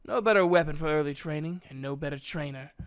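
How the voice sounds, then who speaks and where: A man voicing a proud elf mage in a storybook reading, a gruff but kindly mentor with emphatic, old-fashioned speech. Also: fairly high pitch, quick pace, close to an everyday voice. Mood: amused, instructing.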